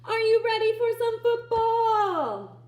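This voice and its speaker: A woman voicing an eager, excitable young boy from a storybook, with emphatic exclamations, in an echoey room. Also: very high-pitched, slow, pitched above the performer's own voice, full character voice. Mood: excited.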